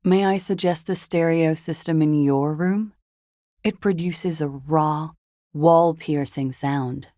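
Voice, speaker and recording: Game femme fatale: world-weary, wry, guarded; slow, unhurried pace, mellow timbre, dry close sound. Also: female voice, low-pitched, fairly even intonation, no room sound. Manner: languid, hesitant, wry. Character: world-weary, guarded, seductive disco-dancer woman recounting a dead lover. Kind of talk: video game dialogue acting.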